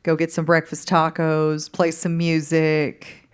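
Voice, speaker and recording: A woman singer-songwriter from the Upper Midwest, answering interview questions on stage in a relaxed, hesitant way. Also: low-pitched, slow pace, clear, resonant tone. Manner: relaxed, hesitant, laughs easily. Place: stage in a concert hall.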